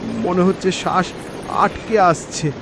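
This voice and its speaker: A man voicing a village fisherman in an animated moral story. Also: high voice, slow, noisy background. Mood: weak, suffering. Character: village fisherman, cheerful then weakening and gasping.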